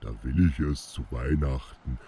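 Very deep, husky grumpy bear. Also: male voice, moderate pace, dark tone, full character voice. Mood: grumbling, insistent. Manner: growling, insistent. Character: grumpy, stubborn big male bear who loves warmth. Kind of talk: children's audio play acting.

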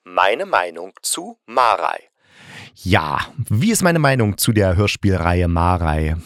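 Low-voiced man, chatty podcast reviewer. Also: big pitch swings, slow pace, fairly bright tone, a little hiss or hum. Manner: chatty, addresses listeners, wry asides. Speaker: podcast host reviewing audio dramas. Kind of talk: casual spoken review, telling plots conversationally.

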